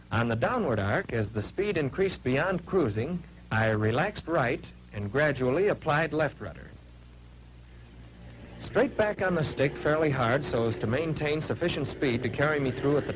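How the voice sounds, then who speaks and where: Male actor as earnest WWII pilot trainee, American, measured matter-of-fact narration, dull vintage film sound, clear noise. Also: fairly high pitch, close to an everyday voice. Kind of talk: scripted first-person narration, 1940s training film. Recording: muffled, noisy vintage 1940s film soundtrack.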